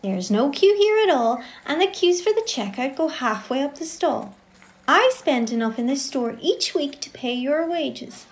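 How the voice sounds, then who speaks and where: A woman's voice: sits high, expressive, normal speed. An actress playing an aggressive, foul-mouthed shopper in her forties who threatens and swears. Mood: indignant. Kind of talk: amateur audio drama.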